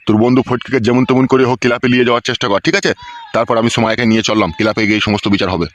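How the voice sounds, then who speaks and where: A man's voice: mid-range voice, little pitch movement, racing, muffled recording, a little hiss or hum, lightly acted. A man playing a stern, crude older village uncle in a comic cartoon, blunt and bossy. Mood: stern, advising. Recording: music under the voice.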